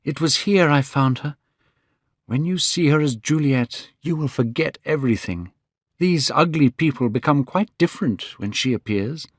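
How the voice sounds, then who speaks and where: A man voicing an eager, lovestruck young man in a spoken storybook, pitched high and gushing. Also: animated, moderate pace, dry recording, studio quality. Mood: earnest.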